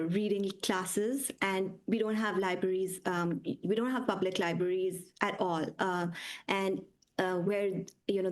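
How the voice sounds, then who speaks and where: Woman guest author raised in the UAE; casual, halting delivery with frequent fillers. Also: mid-range voice. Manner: hesitant, many ums and uhs, laughs easily. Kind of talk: casual conversation over video call.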